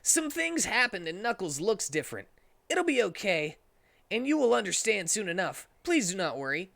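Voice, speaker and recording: A man's voice: high voice, very animated, moderate pace, vocal fry, bright, forceful tone, pitched above the performer's own voice, exaggerated voice. A man voicing a confident, reassuring teenage hedgehog hero in a dramatic story reading. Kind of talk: dramatic reading of fan fiction.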